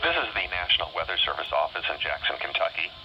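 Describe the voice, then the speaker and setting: A man with a very low voice reads a formal public warning test in measured phrases, as a weather radio announcer. The sound comes through a radio, muffled and dull, with clear noise over it. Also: lively intonation. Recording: muffled weather radio re-recorded in noisy room.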